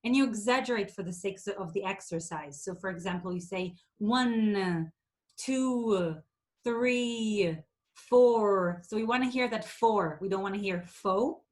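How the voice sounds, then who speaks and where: A woman from Israel whose first language is Hebrew, coaching public speaking in a clear, deliberate way and sometimes exaggerating words to demonstrate. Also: medium pitch, a little mellow. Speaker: presentation skills coach. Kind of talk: instructional talk.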